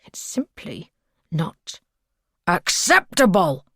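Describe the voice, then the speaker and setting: A woman voicing an obsessive male captor who speaks in soft, breathy whispers. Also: fairly low pitch, animated, measured pace, no room sound, studio quality, full character voice. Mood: agitated, threatening.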